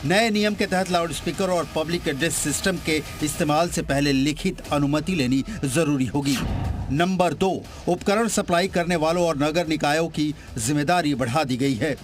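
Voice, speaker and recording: A man's voice: on the high side, normal speed, a little hiss or hum. A man delivering an emphatic, scripted television news report as a reporter. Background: music bed.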